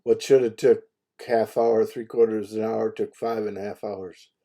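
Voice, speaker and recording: A man's voice: on the low side, level tone, normal speed, warm tone. An older man chatting casually on the phone, telling anecdotes in a blunt, salty way with chuckles. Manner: blunt, salty, chuckles through his stories. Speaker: phone call participant recounting his medical history. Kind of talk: casual chat, anecdotal storytelling. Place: phone conversation.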